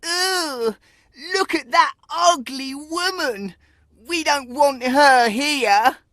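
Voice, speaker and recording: A woman's voice: sits high, drawn-out words, fairly bright tone, dry recording, studio quality, full character voice. A woman playing a proud, cruel young boy in a storybook tale, speaking with scornful, imperious emphasis. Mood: scornful disgust. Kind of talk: dramatised storybook reading.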